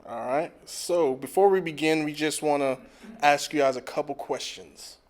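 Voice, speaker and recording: A man, a physical therapy professor, giving a relaxed online lecture with frequent fillers. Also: on the high side, moderate pace. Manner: relaxed, with frequent "um" and "you know". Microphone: video call.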